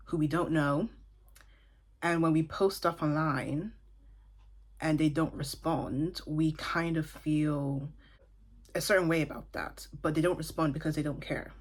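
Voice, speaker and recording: A woman's voice: deep voice, steady tone, unhurried, breathy edge. A woman in her twenties from Dublin, chatting casually and thoughtfully about a book, with side remarks. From Ireland (Dublin). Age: 20s. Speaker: book vlogger. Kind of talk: casual book review talk.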